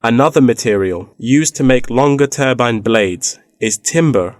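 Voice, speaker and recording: An actor plays a knowledgeable man in a scripted listening-test dialogue. His voice sits at a medium pitch, with a measured, informative delivery. The recording is dry, with no room sound. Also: lively intonation, dry recording, close to an everyday voice. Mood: explanatory. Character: knowledgeable man explaining wind farm technology.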